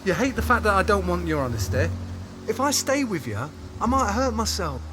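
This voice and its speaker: Man acting a high-pitched, hurt, sensitive boyfriend. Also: sing-song, brisk. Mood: bewildered, anguished. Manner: earnest, emotional, protesting. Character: hurt, sensitive, stubborn boyfriend trying to break up. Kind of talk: audio drama acting, intimate dialogue. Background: music.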